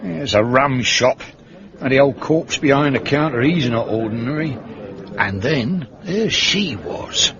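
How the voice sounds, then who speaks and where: An actor playing a blunt, sardonic Cockney manservant in a British radio drama, talking in a colloquial, gossipy, grumbling way. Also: male voice, mid-range voice, animated, measured pace, a crowd in the background. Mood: suspicious, gossipy. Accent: Cockney London. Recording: muffled, dull radio drama recording.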